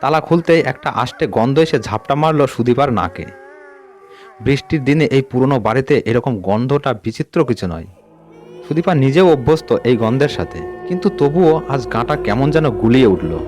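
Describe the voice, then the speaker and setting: A man hosting and narrating a horror audio story in a dramatic storytelling style. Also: mid-range voice. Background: music under the voice.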